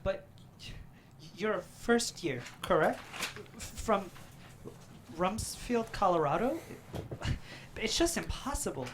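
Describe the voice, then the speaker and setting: An astonished, skeptical official in a suit, read by a woman at a table read. The delivery is slow and swings widely in pitch, with clear noise in the room. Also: lively intonation. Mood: disbelieving. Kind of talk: live screenplay table read.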